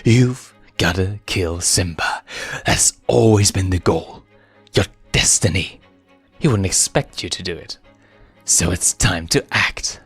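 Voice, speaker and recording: A man's voice: low voice, takes it slowly, a bit bright, breathy edge, faint music behind, heavily acted. A man voicing a scornful young lioness who is insistent and commanding, with a sinister false courtesy, in a story reading. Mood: menacing.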